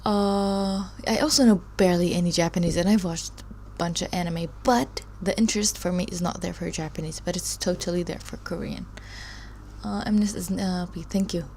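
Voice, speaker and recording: A low, gravelly woman's voice talking to her stream chat from a home desk mic. She rambles, trails off, laughs between thoughts and circles back to comments in loose casual chat. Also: steady tone, fairly quick, a little mellow, slight background noise. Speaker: live stream host studying a course.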